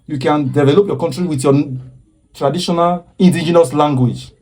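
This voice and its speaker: Man, dark mellow voice, husky, earnest preaching commentary, repeats himself. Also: a little high, a little fast. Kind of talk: impassioned social commentary.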